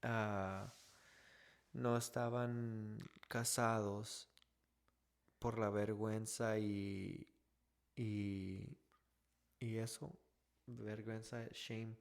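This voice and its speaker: Slow, gravelly Texan man. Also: on the low side, level tone. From Texas, USA. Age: 30s. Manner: halting, restarts often, slips into English words. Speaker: podcast co-host telling his testimony. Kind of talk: casual faith testimony.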